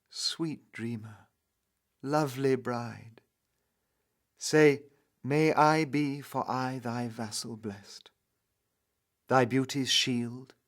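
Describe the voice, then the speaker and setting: Slow, ardent young knightly lover played by a man. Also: mid-range voice, fairly bright tone, pitched above the performer's own voice. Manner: slow, impassioned, swelling and falling to whispers. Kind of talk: dramatic romantic poetry recital.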